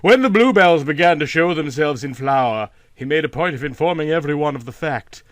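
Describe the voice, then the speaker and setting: A man reading a literary short story aloud as an expressive narrator. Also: a little high, fairly quick, no echo.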